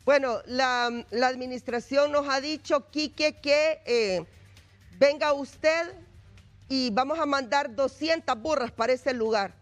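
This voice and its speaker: A woman with a Honduran accent anchoring a TV news show from the studio, brisk and announcing. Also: sits high, faint music behind.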